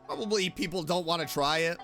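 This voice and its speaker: A woman's voice: lowish voice, fast talker, over music. A woman streamer giving casual, informal, reactive commentary as she plays a game.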